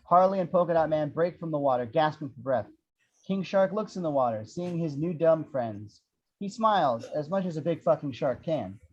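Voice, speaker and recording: A man's voice: a little high, expressive, normal speed. A man narrating action descriptions at a script table read, with irreverent, wry asides. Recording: dull, lo-fi audio.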